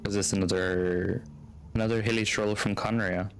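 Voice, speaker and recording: A man's voice: on the low side, flat delivery, moderate pace, over music. A man streaming a game, reacting casually with wry, muttered asides and reading dialogue aloud.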